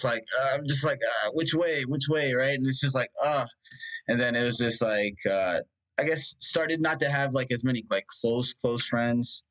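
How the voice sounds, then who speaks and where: A man's voice: medium pitch, fairly bright tone, rough recording. A young man from India whose first language is Punjabi, a guest thinking aloud about his past in a rambling way, full of fillers and restarts. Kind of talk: reflective personal conversation.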